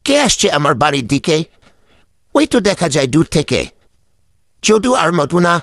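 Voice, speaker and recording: A man's voice: on the high side, very animated, normal speed, a bit bright, soft background music. A man voicing the witty old village sage in a cartoon, teasing and grumbling to himself. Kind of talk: cartoon voice acting.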